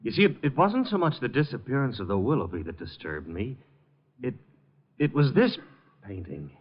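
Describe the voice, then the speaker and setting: An actor playing a troubled, apologetic, polite gentleman who talks haltingly and earnestly in a 1950s radio drama. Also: medium pitch, big pitch swings, breathy edge. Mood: troubled, awed. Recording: muffled, lo-fi 1950s radio broadcast.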